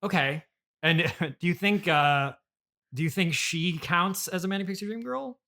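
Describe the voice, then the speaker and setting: A man hosting a casual comedy interview in a halting, stammering, agreeable way. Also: high-pitched, pushed and bright. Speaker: interviewer and host.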